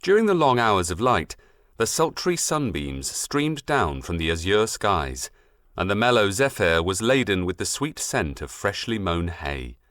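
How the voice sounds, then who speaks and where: Expressive low-voiced man reading a story. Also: dry recording, studio quality. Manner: expressive, literary, measured storytelling delivery. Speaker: audiobook narrator. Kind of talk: scripted storybook narration.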